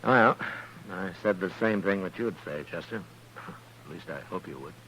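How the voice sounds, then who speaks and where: An actor playing a stern, weary American frontier marshal in a radio western, speaking with dry, sardonic authority. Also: male voice, deep voice, normal speed, a bit bright. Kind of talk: 1950s radio western acting. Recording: noisy, humming old radio recording.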